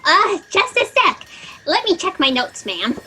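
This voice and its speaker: Squeaky snippy fact checker, played by a woman. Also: big pitch swings, measured pace, bright, forceful tone, full character voice. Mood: flustered, snippy. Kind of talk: sci-fi satire audio drama. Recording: slightly noisy video-call audio.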